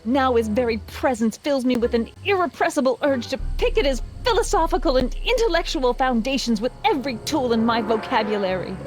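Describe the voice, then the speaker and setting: A prim young crusading reporter from a video game, her voice pitched high over faint music. She speaks in wordy, prissy sentences with theatrical righteousness, like period melodrama. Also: lively intonation, a little fast, few pauses. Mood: scornful disdain.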